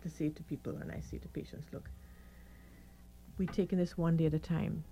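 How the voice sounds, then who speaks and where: A middle-aged woman doctor with a croaky, hoarse voice, calmly explaining her experience as a radio guest. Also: low-pitched, brisk, dull audio, a little hiss or hum. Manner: calm, reassuring, explains step by step. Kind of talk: radio interview.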